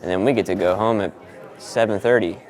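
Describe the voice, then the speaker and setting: A man's voice: lowish voice, brisk, a little mellow, a faint crowd. A laid-back man chatting casually and informally as a sound engineer vlogging his setup and testing microphones. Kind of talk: casual vlog chat with mic checks.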